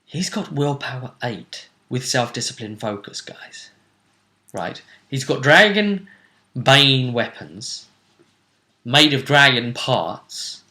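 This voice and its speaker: A man hosting a tabletop role-play show, casually recapping the action in a jokey, theatrical way with drawn-out emphasis. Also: medium pitch, expressive, pushed and bright. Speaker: game master and host.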